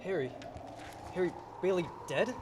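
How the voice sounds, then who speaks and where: A young man playing an excitable, desperate family man in a radio play, recorded in an echoey hall. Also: sits high, normal speed. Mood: bewildered. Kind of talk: filmed radio play acting, high school production. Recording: echoey hall, faint noise.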